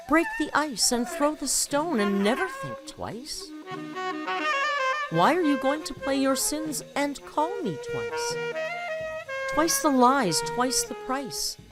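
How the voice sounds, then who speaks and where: A woman's voice: sits high, voice pushed up, heavily acted. A woman voicing a bitter, wounded female poet reciting melodramatic spoken-word verse with heavy dramatic emphasis. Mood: accusing.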